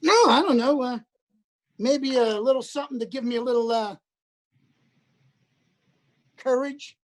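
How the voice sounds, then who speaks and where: A woman voicing a crude, lecherous older man who is a hospital patient, talking with a leering, boastful drawl and breathy chuckles. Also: fairly high pitch, measured pace, close to an everyday voice. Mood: sleazy. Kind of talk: livestream play reading.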